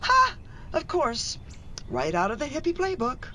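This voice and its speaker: A woman playing an exasperated, fussy middle-aged wife and mother in a radio play, sharp-tongued and insistent. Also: high voice, huge pitch swings, unhurried, slight background noise, exaggerated voice. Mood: scornful disbelief.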